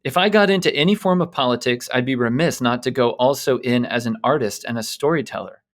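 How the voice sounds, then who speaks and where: A man's voice: medium pitch, a little fast. A man co-hosting a podcast, casually retelling news stories in a bantering talk style. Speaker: co-host presenting a news quiz segment.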